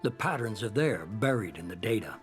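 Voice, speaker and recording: An actor as measured, calculating shadowy boss. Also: male voice, on the low side, lively intonation, faint music behind, close to an everyday voice. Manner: measured, composed, authoritative. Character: shadowy, calculating middle-aged male leader of secret organization. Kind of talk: cinematic sci-fi game dialogue.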